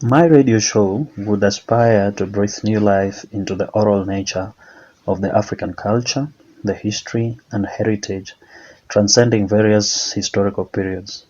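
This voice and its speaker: A man's voice: on the low side, fairly even intonation, normal speed, a little mellow, lo-fi sound. A man reading a scripted essay aloud as a contributor to an academic program. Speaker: contributor answering a question on African studies.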